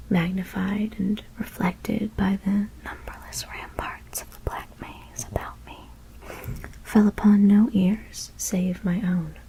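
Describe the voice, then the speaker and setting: A woman whispering a scary story in ASMR style, reading in a breathy, deliberate, literary manner. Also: mid-range voice, dark tone, slight background noise, poor audio. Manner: measured, deliberate literary narration.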